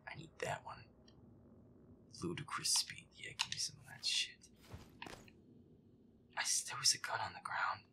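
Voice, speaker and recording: A man's voice: normal speed, long pauses, natural voice. Man playing a whispery, grumbling, feisty boyfriend. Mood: tense muttering. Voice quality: breathy, whispery, gravelly. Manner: irritable, sweary grumbling. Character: feisty, foul-mouthed werewolf boyfriend, easily spooked, grumbling. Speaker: video game player. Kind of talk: gameplay roleplay audio, muttered reactions.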